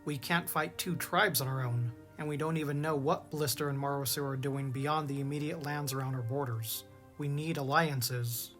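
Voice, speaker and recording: A man playing an earnest young dragon strategist in an audio drama, reasoning things out in measured phrases. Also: mid-range voice, steady tone, fairly bright tone, soft background music, close to an everyday voice. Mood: urgent.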